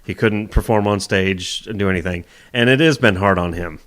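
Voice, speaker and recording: A male co-host with a low voice, easygoing and unhurried. He mostly answers with short agreeing replies and now and then tells a longer story of his own. He talks plainly and casually. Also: fairly bright tone. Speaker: morning show co-host. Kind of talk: casual chat.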